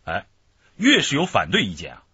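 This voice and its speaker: A man's voice: lowish voice, huge pitch swings, moderate pace, pushed and bright, husky, no echo, exaggerated voice. An actor playing an encouraging male police team leader in a radio drama. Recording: dull lo-fi radio drama recording.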